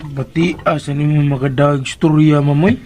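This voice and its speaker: A man's voice: on the high side, fairly even intonation, brisk, a little mellow, lightly acted. A Filipino man playing a cheeky, teasing household helper in a radio drama, bantering and exclaiming. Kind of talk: Philippine radio drama comic acting.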